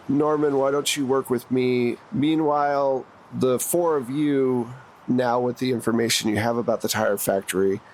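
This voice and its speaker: A man's voice: medium pitch, slight background noise, rough recording. A man, narrating conversationally as the game master of a tabletop game.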